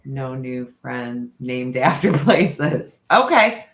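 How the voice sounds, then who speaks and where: Man, podcast co-host, casual chat, rambling and reflective, mid pitch, echoey room. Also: sing-song, slow pace, a bit bright. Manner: rambling, reflective, talks through ideas aloud.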